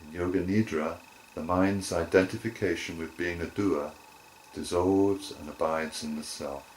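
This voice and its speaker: A man teaching guided relaxation in measured, deliberate phrases with short pauses. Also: sits low, steady tone, a little hiss or hum, low-quality recording. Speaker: yoga nidra teacher.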